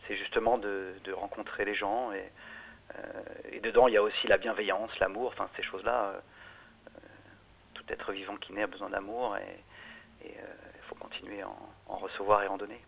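A man's voice: fairly low pitch, little pitch movement, a little fast, gravelly, slight background noise, low-quality recording. A middle-aged man, a musician, answering interview questions in a hesitant way, with many restarts and fillers. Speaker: musician guest.

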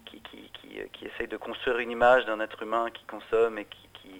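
Middle-aged man, low voice, gravelly, halting, restarts a lot, interview guest. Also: flat delivery, fairly bright tone, rough recording. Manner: hesitant, many restarts and fillers. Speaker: musician guest.

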